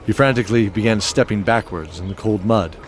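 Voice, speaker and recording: A man's voice: on the low side, a little fast, a little hiss or hum. A man reading scripted narration as the narrator of an audio fiction show. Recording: clear music bed behind voice.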